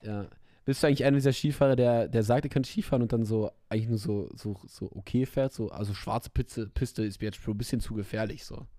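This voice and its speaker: A young man co-hosting a podcast in casual chat, a bit hoarse from being ill, rambling and slangy. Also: mid-range voice, quick pace, hardly any pauses, mellow, no echo, studio quality. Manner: slangy, rambling, self-interrupting. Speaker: podcast co-host.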